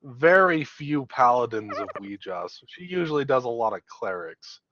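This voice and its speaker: A man's voice: medium pitch, big pitch swings, moderate pace, fairly bright tone, no echo. A man acting as game master, casually explaining a tabletop story over dull, dry voice-chat audio.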